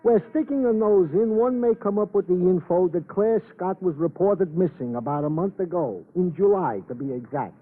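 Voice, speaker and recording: An actor playing a chatty, deferential police sergeant in an old-time radio drama, with florid, roundabout phrasing. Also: male voice, sits high, moderate pace, hardly any pauses, phone-quality sound. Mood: earnest, eager. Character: chatty, deferential Italian-American police sergeant on night duty. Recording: thin, band-limited old radio recording.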